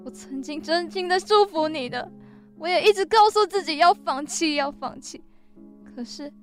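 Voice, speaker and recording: A woman's voice: very high voice, normal speed, soft background music. A woman acting a secretly lovesick friend defending herself with aggrieved emotion in an audio drama. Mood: aggrieved, pleading. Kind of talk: melodramatic radio drama acting.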